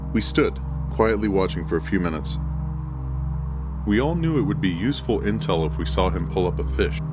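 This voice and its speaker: Male horror narrator, very low register, deliberate first-person storytelling, clear music bed.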